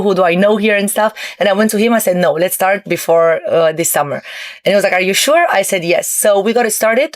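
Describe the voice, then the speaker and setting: A woman in her twenties from Romania, answering interview questions in a relaxed way, often pausing with ums and uhs. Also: medium pitch. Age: 20s. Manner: hesitant, many ums and uhs. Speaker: entrepreneur guest. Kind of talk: casual interview. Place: on board a yacht.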